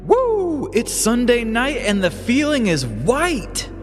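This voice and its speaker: A man voicing an excitable teenage boy sidekick who shouts eagerly. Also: extremely high pitch, takes it slowly, big-room sound, soft background music, voice pushed up, heavily acted. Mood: rowdy.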